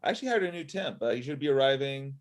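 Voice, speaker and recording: A man's voice: mid-range voice, big pitch swings, racing, lightly acted. A man playing a self-assured matchmaking boss with a casual manner in a comedy table read on a video call. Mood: breezy. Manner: casual, offhand. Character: breezy, self-assured male matchmaking business owner. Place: indoor room at home. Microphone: video call, sitting back from laptop.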